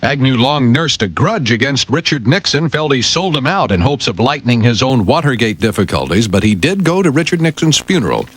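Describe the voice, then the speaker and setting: A man's voice: mid-range voice, very animated, fairly quick, few pauses, slightly rough, low-quality recording. A man reading the news on a morning radio show, articulate and emphatic, with touches of banter. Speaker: radio newsreader and on-air sidekick.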